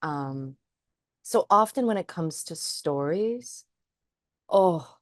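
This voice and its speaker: A woman's voice: low-pitched, expressive, slow pace, dry recording, clean studio sound. A woman who is a trauma psychotherapist, co-hosting a podcast and speaking in a thoughtful, earnest way. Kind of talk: casual chat.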